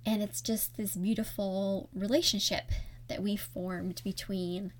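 A woman's voice: medium pitch, fairly even intonation, measured pace. A woman hosting her own podcast, talking in a candid, conversational and reflective way with a voice listeners call soothing. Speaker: podcast host. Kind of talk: solo podcast talk.

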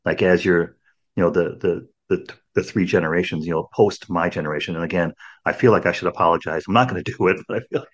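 A man's voice: low voice, quick pace, slightly rough, highs cut off. A man in his sixties hosting a podcast in casual conversation, rambling with many restarts and "you know"s. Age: 60s. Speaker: podcast host.

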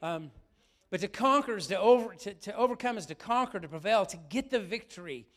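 Brief: middle-aged male pastor, high voice, slightly raspy, lively sermon that keeps asking questions. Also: brisk. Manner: lively, asks the room questions often.

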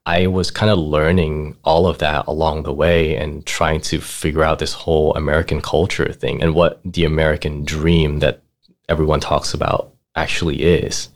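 Very deep-voiced man telling his story. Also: flat delivery, moderate pace. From Thailand. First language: Thai. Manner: laid-back, laughs often, lots of "like" and "right?". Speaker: guest, finance manager. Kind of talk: casual interview storytelling.

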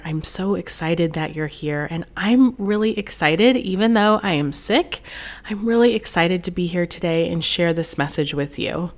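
A woman hosting a solo podcast as a business coach, talking in a chatty, encouraging way while congested from a cold. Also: on the low side.

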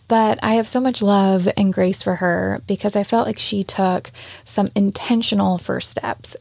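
A Southern woman in her thirties hosts her own podcast in an earnest, chatty solo monologue. Her mid-pitched voice has a gravelly fry. The recording is dry and close to the mic. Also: dry recording. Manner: warm, earnest, chatty with frequent y'all. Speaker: podcast host.

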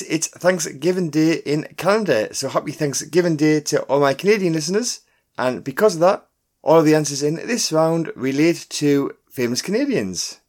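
A man hosting a quiz podcast, reading out scripted questions and clues in a deliberate announcing manner. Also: a little high. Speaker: pub quiz podcast host. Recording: music bed under the voice. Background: background music.

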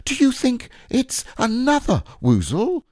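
A man voicing a small, timid, excitable young piglet in a squeaky, hesitant voice for a children's storybook. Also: measured pace, dry recording, clean studio sound, exaggerated voice. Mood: anxious excitement. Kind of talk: children's storybook character reading.

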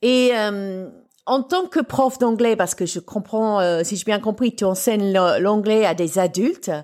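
A woman's voice: medium pitch, unhurried, a little mellow. A British woman hosting a podcast interview in French, chatty and upbeat, laughing often. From Britain. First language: English. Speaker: podcast host.

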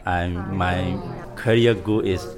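A man from Myanmar, a culinary student, speaking in careful, halting English. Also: sits low, steady tone, over a noisy crowd.

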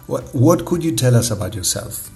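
A man hosting an interview, speaking in a measured, polite way. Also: mid-range voice, lively intonation, gravelly, warm tone. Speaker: interviewer, host. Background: faint music.

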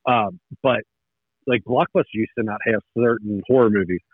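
A man's voice: fairly low pitch, normal speed, breathy edge, dry recording. Man in his thirties on a tinny phone line. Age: 30s. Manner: nostalgic, rambling with many restarts. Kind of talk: casual chat.

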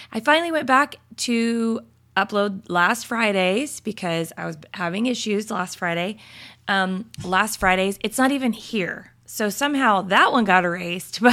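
A woman hosting a faith podcast, slightly raspy this morning, talking in a chatty, upbeat way with laughs and fillers. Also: mid-range voice, a bit bright. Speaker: podcast host. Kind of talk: casual devotional podcast talk.

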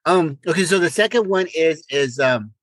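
Older guy on a chat podcast, kinda high voice, really up and down, trips over his words. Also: expressive, moderate pace, fairly bright tone, no echo. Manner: stammering, repeats words, exclamatory. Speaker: podcast co-host.

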